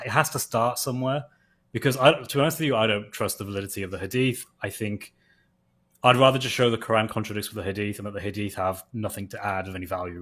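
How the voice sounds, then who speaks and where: A man's voice: lowish voice, a bit bright. A man who is a native English speaker, chatting casually in a discussion and thinking aloud with loose fillers.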